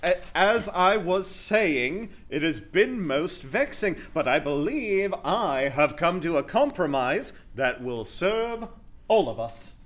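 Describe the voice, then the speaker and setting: A man's voice: high voice, very animated, takes it slowly, fairly bright tone, a little hiss or hum, full character voice. A man playing a smug, randy young prince in a comedic radio play, speaking with pompous, mock-regal formality.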